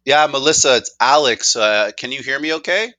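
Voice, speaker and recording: A man's voice: medium pitch, normal speed, pushed and bright, no room sound. A Canadian man presenting a technology demo in a casual, conversational way, often stammering and repeating words as he goes.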